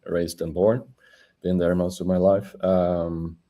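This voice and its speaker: A man, chatting as a guest over a video call in a halting way with many fillers. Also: low-pitched, level tone, mellow. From Norway. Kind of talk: casual interview chat.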